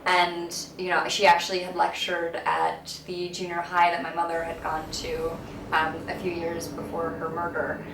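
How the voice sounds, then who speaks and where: Earnest actress, flat and echoey. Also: female voice, on the low side, moderate pace. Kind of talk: interview about a film role. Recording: echoey room, mic at a distance, hiss.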